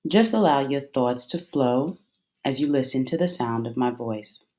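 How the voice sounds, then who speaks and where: A meditation guide with a medium-pitched voice speaks in a soothing, instructional way. Phrases are measured and set apart by deliberate pauses, in the manner of a guided visualization. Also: a little mellow.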